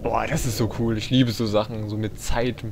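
A young man giving casual, excitable video game commentary, talking to himself with playful exclamations. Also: on the low side, moderate pace, gravelly, background noise. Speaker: let's play host.

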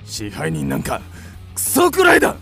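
A blustering, pompous male landowner in a radio drama. His voice rides high and swoops widely, with a gravelly creak. He is short-tempered and scornful, formal one moment and explosive the next. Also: big pitch swings, normal speed, fairly bright tone, faint music behind, full character voice. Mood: furious.

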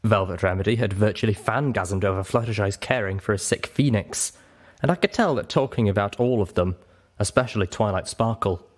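A man narrating a story as an audiobook reader, in an expressive scripted style. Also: fairly low pitch, a little fast.

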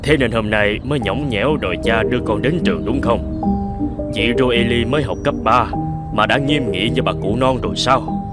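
A man voicing a caring, busy middle-aged father in a cartoon, speaking in a gentle, indulgent way. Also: mid-range voice, normal speed, close to an everyday voice. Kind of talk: cartoon dubbing. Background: music.

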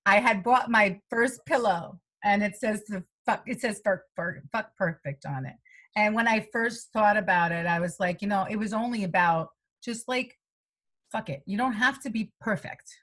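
A woman's voice: mid-range voice, normal speed. A middle-aged woman from the United States, a health coach being interviewed over a video call at home, rambling along with many fillers. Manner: rambling, lively, many "you know" fillers, frequent laughs. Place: small room at home, indoors. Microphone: webcam on a video call.

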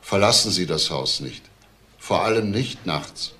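An actor playing a probing, unhurried police detective in a crime radio drama. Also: male voice, deep voice, expressive, bright, forceful tone, full character voice. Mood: grave. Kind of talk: naturalistic crime drama dialogue.

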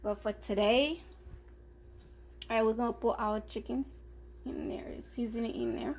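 An upbeat, chatty woman talks her viewers through a home cooking session. Her voice sits a little high, and she is picked up by a phone camera aimed at the stove. A faint hum from the kitchen sits underneath. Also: fairly even intonation, a little slow, darkish tone. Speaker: cooking vlogger. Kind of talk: casual cooking chat.

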